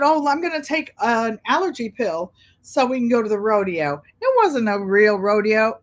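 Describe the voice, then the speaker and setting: Chatty woman with a Connecticut accent. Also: mid-range voice. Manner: rambling, frank, talks to viewers by name. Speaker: quilting channel host. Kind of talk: chatty livestream talk while sewing.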